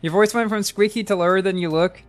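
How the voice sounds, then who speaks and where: A man's voice: sits high, fairly even intonation, quick pace, faint music behind. A man in his early twenties chatting casually while livestreaming a game and reading chat, rambling and often repeating words as he restarts. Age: early 20s. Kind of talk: casual live-stream chat.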